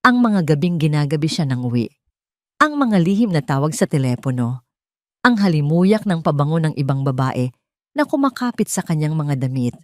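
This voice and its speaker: A Filipino woman narrates a dramatic audio story in a low voice. Her delivery is solemn and emotive, as scripted first-person storytelling. The sound is dry and close, with no room around it. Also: sing-song, normal speed, dry recording.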